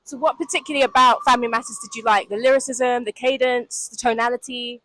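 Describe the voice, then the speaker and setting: A woman hosting street interviews outdoors in London, reporting in a playful, deadpan mock-news style. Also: fairly high pitch, quick pace, no room sound, rough recording.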